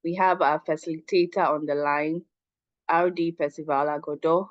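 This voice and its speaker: A woman from Ghana hosting an online professional seminar, making announcements in a measured way over a call. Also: low-pitched, fairly even intonation, no echo. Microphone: online call audio. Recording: dull, dry call audio.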